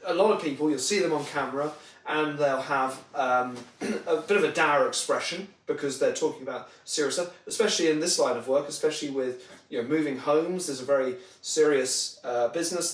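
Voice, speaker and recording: Echoey, distant-sounding man explaining in interview. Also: a little high. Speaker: podcast guest, marketing trainer. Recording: roomy echo, distant microphone.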